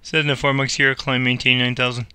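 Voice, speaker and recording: A man's voice: mid-range voice, flat delivery, fast talker. A man playing an air traffic controller, mixing radio phraseology with casual commentary on his game.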